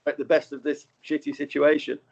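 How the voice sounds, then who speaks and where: Man, fairly high voice, hesitant, self-correcting, casual interview guest, slightly muffled recording. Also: level tone, a little fast, vocal fry, a little mellow. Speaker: film writer-director, podcast guest.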